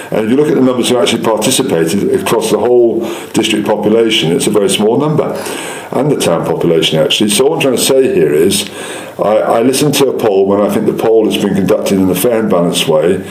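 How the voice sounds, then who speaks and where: Councillor type: English man, quick, insistent explainer, interviewed in an echoey room. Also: fairly low pitch, darkish tone. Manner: insistent, explanatory, repeats points. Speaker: local councillor. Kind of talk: interview answers, political persuasion.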